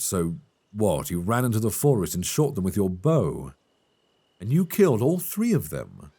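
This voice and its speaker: A man playing a calm, reassuring elf adventurer in an intimate fantasy ASMR roleplay, speaking gently and soothingly close to a binaural microphone. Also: lowish voice, breathy edge, full character voice. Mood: attentive, then impressed, encouraging. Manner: gentle, soothing, measured delivery.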